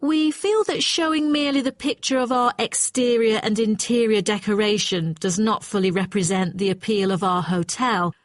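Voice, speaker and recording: A woman's voice: medium pitch, normal speed, hardly any pauses, no echo, lightly acted. A woman playing an exacting, matter-of-fact hotel client in a scripted listening-test dialogue. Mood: businesslike, firm. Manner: formal, precise, matter-of-fact. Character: brisk, exacting female hotel client requesting brochure revisions.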